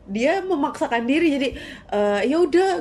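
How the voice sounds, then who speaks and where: A young woman co-hosting a casual podcast chat, chatty and quick to agree. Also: high-pitched, expressive, a little mellow. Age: young adult. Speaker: podcast co-host.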